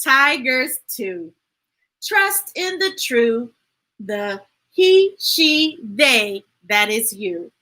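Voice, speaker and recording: A woman hosting a children's storytime, reading aloud in an expressive, playful, emphatic way with chatty asides to viewers. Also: on the high side, drawn-out words.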